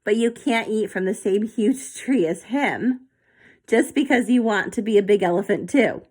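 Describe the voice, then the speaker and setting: A woman voicing a lively, caring young girl in an animated children's storybook reading. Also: mid-range voice, moderate pace, lightly acted. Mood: amused, fond. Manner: excitable, animated.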